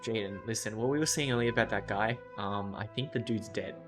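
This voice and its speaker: A man's voice: fairly low pitch, brisk, music in the background. A young man chatting casually and slangily as he reacts to a game on a livestream. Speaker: game streamer. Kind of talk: casual gaming reaction chat.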